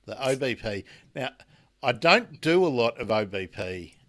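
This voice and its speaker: An Australian man hosting a radio show, chatting casually with a guest and pausing often to restart his sentences. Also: mid-range voice, big pitch swings, fairly bright tone. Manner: slow, halting, lots of restarts and fillers. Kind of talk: casual radio interview chat.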